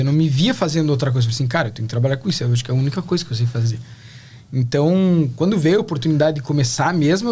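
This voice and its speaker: A Brazilian man in his thirties gives a relaxed, reflective interview, full of fillers and little asides. His voice sits a bit higher than usual for a man, and he talks in an easy, conversational way. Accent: Brazilian Portuguese. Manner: reflective, chatty, many fillers. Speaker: interview guest.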